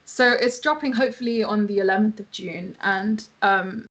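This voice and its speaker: A woman's voice: mid-range voice, moderate pace, a bit bright, rough recording. A woman whose mother tongue is Tamil, chatting casually and informally as a singer-songwriter introducing her own songs, with a giggly, like-peppered manner. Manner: informal, giggly, uses "like" often. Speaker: singer-songwriter and producer, festival guest performer.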